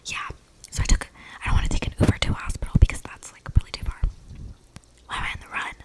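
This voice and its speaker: A woman's voice: quick pace, lightly acted. A woman playing an eccentric, chatty young vampire in a whispered, slangy ASMR roleplay, breathing close to the microphone. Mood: rambling, confiding. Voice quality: breathy whisper with creaky edges. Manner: rambling, casual slangy delivery with many likes. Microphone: close microphone with audible breathing.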